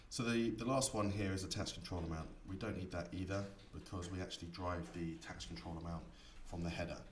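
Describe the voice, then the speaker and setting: A man giving a software tutorial, explaining each setting step by step in an instructor's manner. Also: deep voice, fairly even intonation, fairly quick. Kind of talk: screen-recorded tutorial explanation. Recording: echoey room, distant mic, faint noise.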